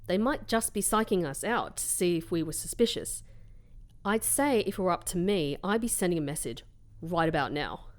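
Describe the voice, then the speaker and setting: A woman plays a composed female undercover agent with a lowish voice. She is measured and wry, as if filing an account in an audio drama, in a reverberant room. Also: animated, natural voice. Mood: calm, reassuring. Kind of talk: audio drama narration and dialogue.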